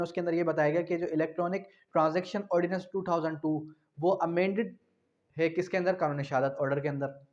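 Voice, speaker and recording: Male law teacher, Pakistani accent, slightly high pitch, didactic and repetitive with English terms mixed in; dull recording. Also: a little mellow. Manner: didactic, repeats phrases and asks himself questions. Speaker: law lecturer. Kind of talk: explanatory law lecture mixing in English terms. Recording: dull, lo-fi sound.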